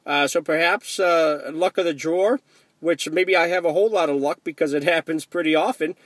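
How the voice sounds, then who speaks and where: A man's voice: fairly high pitch, a little fast, no echo. An American man in a casual, chatty ham radio conversation, speaking with enthusiasm from inside a car. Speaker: amateur radio operator.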